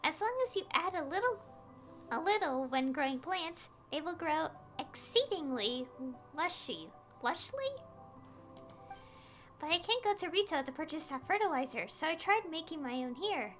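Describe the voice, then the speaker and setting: A woman reading aloud a puzzled, earnest villager man in a video game, a little haltingly. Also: high voice, lively intonation, fairly bright tone, soft background music. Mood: earnest explaining. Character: puzzled, earnest farmer villager man tinkering with homemade fertilizer.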